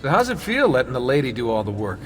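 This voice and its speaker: An actor playing a casual, wry young assassin in hiding in a video game, easygoing. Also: male voice, mid-range voice, huge pitch swings, fast talker. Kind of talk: video game cutscene acting. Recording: long hollow reverb under music.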